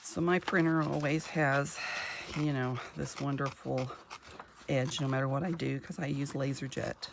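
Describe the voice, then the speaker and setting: Gravelly, chatty woman crafter. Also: extremely low pitch, steady tone, moderate pace, noisy background. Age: middle-aged. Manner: chatty, rambling, homey storyteller. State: gravelly from just waking. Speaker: craft vlogger. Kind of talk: casual crafting chat.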